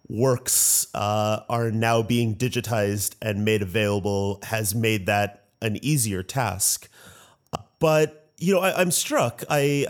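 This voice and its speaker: A man moderating a roundtable discussion, posing questions in a halting, reflective way. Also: medium pitch.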